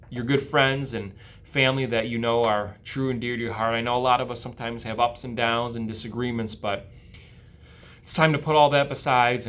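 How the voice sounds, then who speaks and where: American man, mid-pitched voice, storybook narration, educator reading aloud to children. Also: a bit bright.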